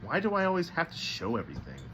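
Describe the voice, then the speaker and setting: A man's voice: on the high side, wildly swooping pitch, quick pace, a bit bright, a little hiss or hum, voice pushed up, rough recording. Man reading as a brooding, self-critical teenage girl. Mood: resentful.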